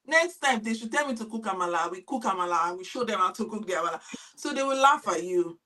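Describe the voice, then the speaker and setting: A Nigerian woman, a teacher giving lively, laughing advice in a casual panel chat. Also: medium pitch, fast talker, distant mic. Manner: animated, laughing, mimics pupils.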